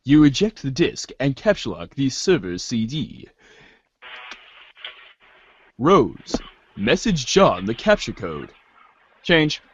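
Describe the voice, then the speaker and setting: A man's voice: medium pitch, husky, no echo. A man narrating as host of a reader's theater, theatrical in the story and casual in asides, on a slightly dull recording.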